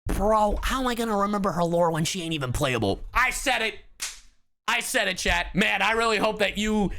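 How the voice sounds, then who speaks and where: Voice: medium pitch, normal speed, sharp tone, a little hiss or hum. A streamer giving casual live commentary in an exasperated, slangy, profanity-heavy way. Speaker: streamer reacting to game voice lines.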